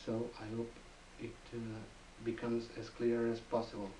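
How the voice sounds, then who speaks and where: A man's voice: medium pitch, completely flat delivery, warm tone. Man, distant and roomy. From Portugal. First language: Portuguese. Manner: slow, careful and apologetic. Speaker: presenter introducing himself. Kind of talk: recorded presentation introduction. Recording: roomy, distant mic with hiss.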